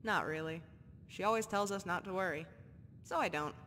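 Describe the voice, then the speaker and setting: A woman's voice: moderate pace, fairly bright tone, close to an everyday voice. An actress voicing a tough, guarded orphan girl who talks in clipped, terse phrases. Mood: gruff. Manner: clipped, terse street talk. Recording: game audio with long reverb and faint rumble.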